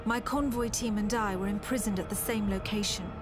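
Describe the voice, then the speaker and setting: An actress playing a composed British military officer, recounting a war in a measured, grave, precise way as game narration. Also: female voice, mid-range voice, fairly even intonation, music in the background, close to an everyday voice. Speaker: narrator and soldier. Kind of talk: scripted game cutscene narration.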